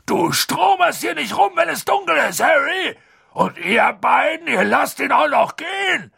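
A man voicing a huge, tipsy, emotional gamekeeper in an audiobook, with a gruff, slurred voice and colloquial speech. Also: fairly low pitch, lively intonation, takes it slowly, bright, forceful tone, dry recording, clean studio sound, full character voice. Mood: angry bellowing. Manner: colloquial, dropping words, swinging into bellows.

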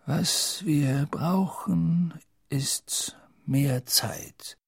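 A man reading an audiobook, voicing an old, wise wizard headmaster who speaks in a measured, grave and sometimes hushed way. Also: expressive, gravelly, breathy edge, dry recording, studio quality, exaggerated voice. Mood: hushed urgent. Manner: measured, low, at times hushed.

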